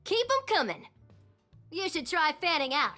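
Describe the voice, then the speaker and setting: A woman's voice: very high-pitched, moderate pace, soft background music, full character voice. A woman playing a small, brash, reckless female yordle cannoneer who loves explosions, in video game voice lines. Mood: triumphant. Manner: brash, gung-ho, excitable.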